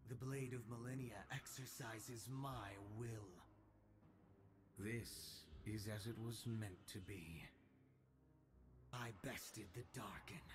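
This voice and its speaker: A man voicing an arrogant, ruthless, brooding shadow assassin in a video game, with cold, measured, menacing proclamations. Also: fairly low pitch. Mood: grandiose, self-assured. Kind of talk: theatrical video game voice lines. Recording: game clips over loud music.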